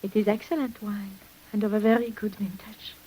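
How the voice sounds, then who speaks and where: An actress playing a weary, secretive French nurse in an old radio drama, speaking formally and hesitantly with breathy sighs. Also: female voice, medium pitch, little pitch movement, normal speed, dark tone, natural voice, poor audio. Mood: smoothly polite. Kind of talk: 1950s radio drama acting. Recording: hissy old radio recording.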